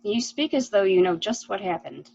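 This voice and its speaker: A woman's voice: mid-range voice, lively intonation, normal speed, vocal fry, darkish tone, dry recording, highs cut off. A woman playing an anxious, disbelieving character in an amateur murder-mystery play, dramatic and pleading. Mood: shocked.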